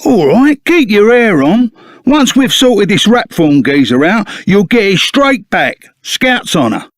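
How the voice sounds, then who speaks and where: Rough Cockney henchman, high-pitched for a man, swinging intonation, blunt, loyal, excitable, video game character. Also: unhurried, no echo, studio quality. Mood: gruff, indignant. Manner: blunt, slangy, chummy, exclamatory.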